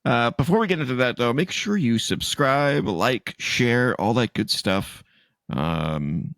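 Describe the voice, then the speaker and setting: A man co-hosting a casual podcast, stuffy and sniffly from allergies, loose and laughing, swooping high for emphasis. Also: gravelly, fairly bright tone, no echo, studio quality. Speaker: podcast co-host. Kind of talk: casual chat.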